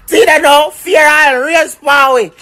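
A young man with a Jamaican accent giving a loud, emphatic rant into a phone held at arm's length. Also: very high-pitched, fairly even intonation, measured pace. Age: young adult. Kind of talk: animated social media rant.

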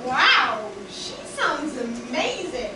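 A woman's voice: high-pitched, sing-song, very slow, full character voice. A woman playing a naive, eager young pantomime adventurer with exclamatory, wide-eyed stage delivery. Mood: wondering. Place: stage of a small theatre or hall. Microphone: far camera in the audience. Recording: echoey theatre from far off, noisy.

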